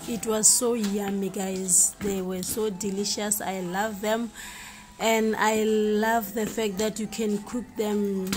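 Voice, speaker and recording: A woman's voice: mid-range voice, little pitch movement, slow, darkish tone, soft background music. A woman chatting casually and hesitantly while she cooks in her home kitchen, with many fillers as she talks to viewers. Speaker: vlogger cooking for her family. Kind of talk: casual cook-with-me narration.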